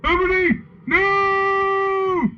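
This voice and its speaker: A man performs an anguished teen boy from a melodramatic comic story. He wails and pleads in a voice thrown very high. The sound is dull, with music. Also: fairly even intonation, drawn-out words, exaggerated voice. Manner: wailing, pleading.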